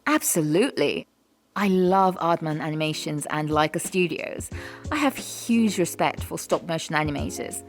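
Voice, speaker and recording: A woman's voice: low-pitched, moderate pace, faint music behind. A woman hosting a casual, lightly scripted question-and-answer vlog. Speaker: vlogger and animator hosting a Q&A.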